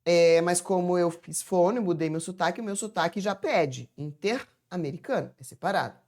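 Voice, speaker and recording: A woman with a somewhat low-pitched voice teaches a grammar lesson from home. She talks to viewers in a chatty, familiar way and slowly stretches words out syllable by syllable to show their sounds. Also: a little mellow. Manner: chatty, addresses viewers as "gente". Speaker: Portuguese teacher. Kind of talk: informal online grammar lesson.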